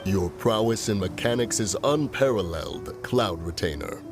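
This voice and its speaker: A man voicing a solemn, ancient male mountain spirit who speaks slowly and formally in a video game. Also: on the low side, expressive, over music, lightly acted. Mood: respectful. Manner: slow, formal, grave delivery.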